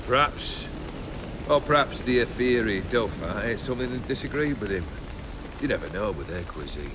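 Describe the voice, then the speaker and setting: A man's voice: on the low side, lively intonation, normal speed, background noise, close to an everyday voice. An actor playing a cynical, imperious bandit nobleman in a video game, with theatrical, sardonic and ornate delivery. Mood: sardonic, reflective. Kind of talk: video game cutscene acting.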